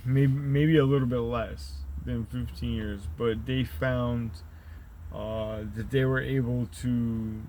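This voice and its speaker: A man with a deep, rumbly voice who rambles through a profane, conspiratorial monologue and keeps tagging his points with "right?". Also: fairly even intonation, slow, dark tone, slight background noise, low-quality recording. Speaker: channel host.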